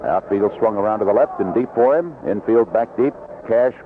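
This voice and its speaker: A man's voice: lowish voice, moderate pace. An American man calling baseball play-by-play on 1970s radio in clipped, short fragments. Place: outdoor ballpark broadcast booth. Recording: dull, noisy vintage AM radio broadcast.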